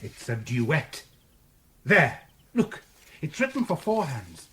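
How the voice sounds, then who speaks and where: Earnest, very expressive male piano teacher. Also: on the high side, slow pace, heavily acted. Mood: gently coaxing. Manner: precise, instructive, polite. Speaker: actor in an audio drama. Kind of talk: radio drama dialogue.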